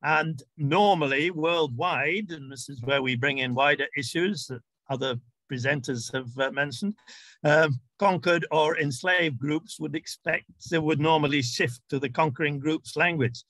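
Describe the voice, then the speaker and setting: Bright-voiced male academic lecturer. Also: on the high side, measured pace, no echo. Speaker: university lecturer. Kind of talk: academic conference lecture with informal asides.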